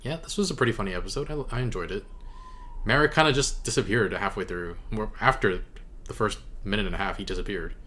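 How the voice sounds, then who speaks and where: A man hosting a reaction video, giving casual commentary in a halting way as he thinks aloud. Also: lowish voice, bright, forceful tone.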